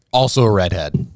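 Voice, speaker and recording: A young man co-hosting a comedy podcast, laughing often and teasing in lively banter. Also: fairly low pitch, no echo, clean studio sound. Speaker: podcast co-host. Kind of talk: casual comedic chat.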